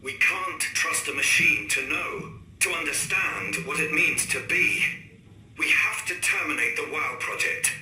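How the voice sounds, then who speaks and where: Ominous worried scientist for game audio log, sharp forceful tone, echoing room. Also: male voice, a little high, a little slow. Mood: alarmed, urgent. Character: grave male scientist recording a worried log. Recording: echoey room with faint noise.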